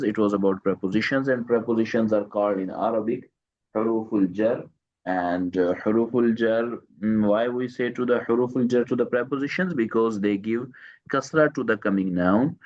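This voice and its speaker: A man teaching Arabic one to one, repeating each phrase slowly, translating word by word and quizzing his student with short questions. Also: lowish voice, muffled recording. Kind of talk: one-to-one language lesson.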